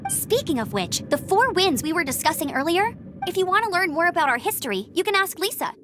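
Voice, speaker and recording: A woman playing an energetic, earnest young knight scout in a video game, eager and talkative. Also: very high voice, fairly quick, slight background noise, full character voice. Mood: chatty.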